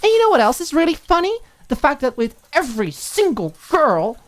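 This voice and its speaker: A woman's voice: high voice, very animated, brisk, voice pushed up. A woman voicing a furious, hurt young roommate in an audiobook, firing off sarcastic, emphatic rhetorical questions. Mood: sarcastic, angry. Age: young adult. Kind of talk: audiobook character acting.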